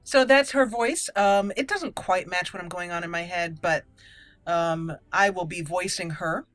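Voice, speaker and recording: A woman's voice: medium pitch, a bit bright, dry recording. A woman giving casual game commentary in a chatty, explanatory manner. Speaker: gaming video host.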